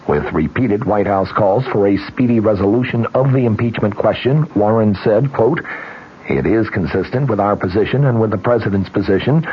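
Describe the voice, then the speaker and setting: An American man reading scripted radio news in a measured, formal manner. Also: on the low side, slight background noise. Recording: dull 1970s radio broadcast recording.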